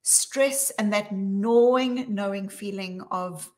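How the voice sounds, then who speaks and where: Woman, forties, South African accent, video-call guest, measured and emphatic, slows down on key points. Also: mid-range voice, darkish tone. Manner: measured and emphatic, slowing to stress key words. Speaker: guest speaker. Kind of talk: talk on workplace wellbeing. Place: small room with plain wall.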